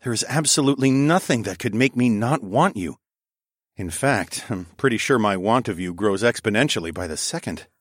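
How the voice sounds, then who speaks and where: A man's voice: on the low side, animated, moderate pace, no room sound, studio quality. A man voicing a devoted, tender young hero in audiobook dialogue, earnest and soothing with a playful streak.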